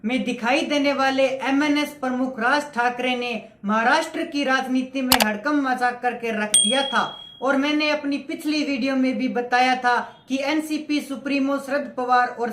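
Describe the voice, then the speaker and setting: Female news presenter, emphatic delivery, raised pitch, roomy echo. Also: a bit bright. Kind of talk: scripted political news narration.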